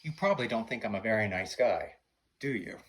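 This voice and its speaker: A man's voice: on the low side, expressive, a little fast, fairly bright tone. A man chatting casually as a podcast guest, playfully slipping into recited movie dialogue. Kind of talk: casual chat, quoting film lines.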